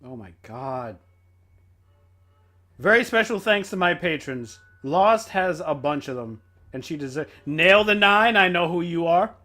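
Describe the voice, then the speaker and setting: Bright, high-voiced energetic man. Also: measured pace. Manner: energetic, exclamatory. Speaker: reaction video host. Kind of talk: casual fan reaction commentary.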